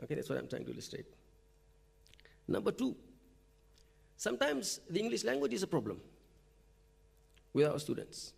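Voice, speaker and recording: A man from Fiji gives a talk on teaching at a university forum. His voice sits high and carries a gravelly rasp. He keeps it conversational, pausing often to ask the audience "okay?". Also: huge pitch swings, a little mellow. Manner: conversational, frequent "okay?" check-ins. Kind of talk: conference talk. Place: lecture hall or forum room.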